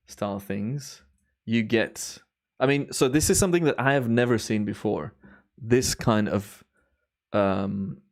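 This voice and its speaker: A slightly hoarse young man from Belgium, chatting casually with viewers while reviewing his timelapse footage on a live stream. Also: fairly low pitch. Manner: relaxed, rambling, chatty with viewers. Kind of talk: relaxed live stream commentary.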